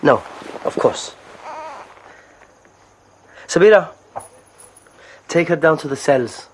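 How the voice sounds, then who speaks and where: Casting: genial but threatening slave trader, audio drama, lilting highish voice, unhurried, faint ambience behind. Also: male voice, expressive, slight background noise, poor audio. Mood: playful, coaxing. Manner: casual, coaxing politeness laced with threat. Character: smooth, menacing male slave merchant, callously genial.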